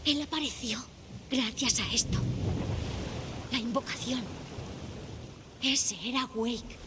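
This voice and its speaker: Resolute higher-pitched woman investigator. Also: slow pace, very noisy. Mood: grave, explaining. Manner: resolute, serious, commanding. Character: determined female investigator, urgent and level-headed. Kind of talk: dramatic scripted game acting.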